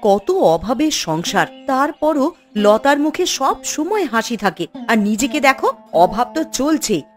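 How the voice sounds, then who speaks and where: Woman acting sharp-tongued, animated young wife. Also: high-pitched, moderate pace, soft background music. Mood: angry, taunting. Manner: nagging, defiant. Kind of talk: scripted cartoon dialogue.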